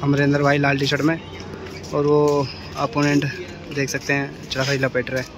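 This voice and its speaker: A man's voice: medium pitch, normal speed. A young man giving live commentary on a kite fight from a city rooftop, filming on his phone. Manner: steady running commentary, flat delivery. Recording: outdoor phone recording with street noise.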